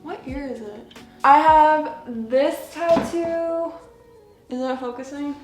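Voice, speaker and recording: Casual American woman in her twenties, plant vlogger, a little high, answering questions mid-chore, echoey room. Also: takes it slowly, faint music behind. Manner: chatty, laughs often, many filler "like"s. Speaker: plant channel host. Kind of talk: casual Q&A chat while repotting plants. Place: at home indoors, working on plants.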